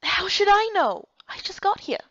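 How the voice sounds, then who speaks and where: A woman's voice: very high voice, very animated, moderate pace, creaky, fairly bright tone, no echo, lo-fi sound, pitched above the performer's own voice, full character voice. A woman voicing a confused, startled young woman lost in another world, in an amateur game dub. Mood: flustered, confused.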